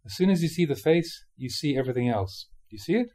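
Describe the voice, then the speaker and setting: A man reading Buddhist teachings aloud like a monk giving a dharma talk, with measured, emphatic phrasing. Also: a little high, expressive, darkish tone.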